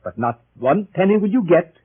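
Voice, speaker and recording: An actor playing a dignified, benevolent older gentleman who leads a club, declaiming pompously in a 1930s radio drama. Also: high voice, animated, normal speed, breathy edge. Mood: defiant, indignant. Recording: muffled phone-like vintage radio recording.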